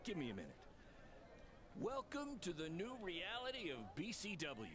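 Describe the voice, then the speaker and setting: Hype salesman wrestling promoter for a game, high voice, swoops all over the place. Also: wildly swooping pitch, normal speed, fairly bright tone, exaggerated voice. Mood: boastful, salesy. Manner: hyped-up salesman patter. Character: excitable, boastful small-time wrestling promoter, self-styled genius. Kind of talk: video game cutscene acting.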